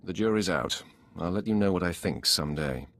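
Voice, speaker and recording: An actor playing a cold, commanding Sith lord in a video game, speaking in a curt, imperious way. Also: male voice, deep voice, animated, fairly quick, a bit bright. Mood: stern.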